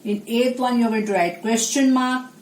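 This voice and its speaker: A woman, teaching an online class and slowly repeating answers for young pupils. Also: a little high, darkish tone, echoey room, slight background noise, poor audio. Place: small indoor room.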